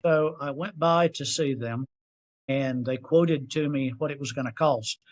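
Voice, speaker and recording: A man in his sixties with a Southern US accent, a podcast guest telling stories in an animated, self-deprecating way. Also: medium pitch, unhurried. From North Carolina. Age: 60s. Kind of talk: storytelling interview.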